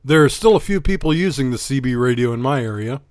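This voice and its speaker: A man hosts a scripted electronics explainer. His voice sits at an average pitch and his delivery is plain and instructional. The recording is close and dry, with little room sound. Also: lively intonation, quick pace, darkish tone, dry recording. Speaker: host and narrator of an electronics channel.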